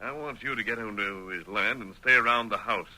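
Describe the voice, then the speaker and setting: A resolute masked cowboy hero in a vintage radio western. His low voice is very forceful and authoritative, with measured wording, on an old broadcast recording. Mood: calm, resolute. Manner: authoritative, measured, clearly worded.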